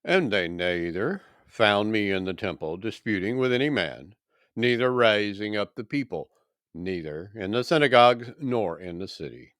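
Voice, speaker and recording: A man voicing an accused apostle defending himself in a scripture reading, earnest, formal and measured. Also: on the low side, animated, a bit bright, smooth, dry recording, clean studio sound. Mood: composed, earnest.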